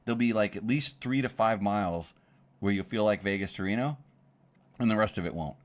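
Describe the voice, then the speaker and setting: A middle-aged man hosting a relaxed conversation, friendly and prone to stumbling restarts. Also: lowish voice, a little fast. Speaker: host. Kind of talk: casual chat.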